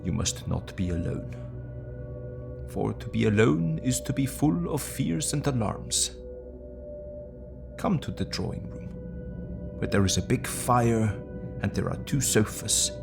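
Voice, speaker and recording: An actor playing an older, fatherly male professor in an audio drama, speaking soothingly and deliberately to console. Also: fairly low pitch, animated, creaky, over music, full character voice. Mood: gently consoling.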